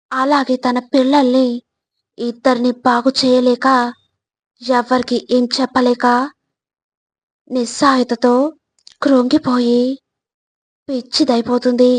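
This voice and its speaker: A woman reading scripted motivational narration in a measured, instructive way, addressing the listener directly. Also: high-pitched, no room sound.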